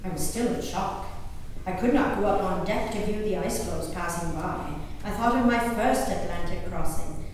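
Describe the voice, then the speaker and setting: A woman performing a historical diary as a resolute, haunted gentlewoman recalling peril at sea, in theatrical, measured phrases with dramatic pauses. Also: on the low side, close to an everyday voice. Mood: sombre, reflective. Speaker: stage performer. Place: live reading in a reverberant hall. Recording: distant echoey hall, noisy room recording.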